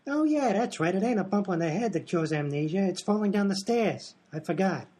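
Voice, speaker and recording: A man's voice: high-pitched, animated, a little fast, warm tone. A man playing a dim, placid, forgetful professor in a comedy radio play, with a muddled, hesitant, guileless manner. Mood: earnest, muddled. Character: dim, amnesiac male professor, placid and literal-minded.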